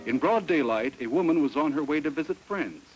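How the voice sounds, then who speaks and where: A man's voice: on the high side, expressive, brisk, low-quality recording. A man narrating a 1960s educational film from a script in a grave, moralizing way. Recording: vintage film soundtrack with music. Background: background music.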